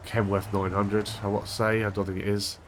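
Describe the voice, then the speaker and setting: A man hosting a gaming channel, chatting casually over gameplay with a stuffed-up, unwell voice, thinking aloud with a monotone delivery. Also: on the low side, a little fast, slight background noise. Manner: rambling, thinking aloud with restarts.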